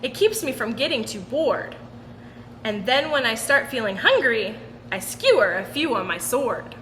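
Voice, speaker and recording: A woman's voice: on the high side, very animated, unhurried, a bit bright. A woman voicing an eager, boastful hunting swordfish in sing-song children's storybook style. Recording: roomy long reverb with background noise.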